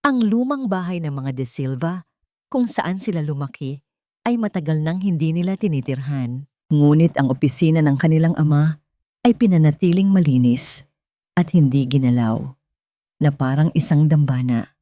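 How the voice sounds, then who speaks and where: A woman's voice: sits low, moderate pace, warm tone, no echo. A woman reading a scripted story as a dramatic narrator. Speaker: narrator of romance stories.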